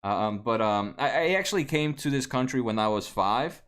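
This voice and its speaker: A man's voice: medium pitch, expressive, brisk, fairly bright tone, clean tone. A man in his late twenties, a native Spanish speaker, hosting a casual stock livestream, answering viewers with many fillers and restarts. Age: 20s. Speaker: stock market livestream host. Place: home room at a desk.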